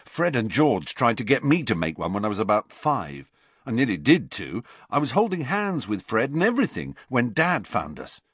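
A man's voice: medium pitch, very animated, moderate pace, fairly bright tone, slightly rough, no echo, pitched above the performer's own voice. A man playing a grumpy, naive teenage boy wizard in a storybook, blunt and grumbling. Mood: earnest.

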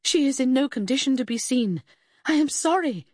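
A woman's voice: medium pitch, expressive, moderate pace, a little mellow, breathy edge, no echo, studio quality. A woman voicing an earnest, composed professional woman in an audiobook, apologetic, placating and insistent. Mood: apologetic, desperate. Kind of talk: audiobook character acting.

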